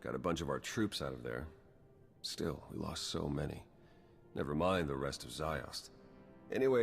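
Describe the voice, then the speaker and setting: A principled intelligence agent in a game drama. His voice is extremely low, and he talks in a plain, clipped, world-weary way. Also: vocal fry. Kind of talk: video game dialogue acting.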